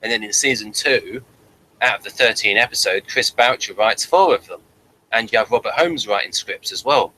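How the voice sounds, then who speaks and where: A man hosting a casual review chat, talking in a halting way and repeating words and phrases. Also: lowish voice, pushed and bright, rough recording. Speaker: host of a TV review show. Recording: faint background noise, room ambience.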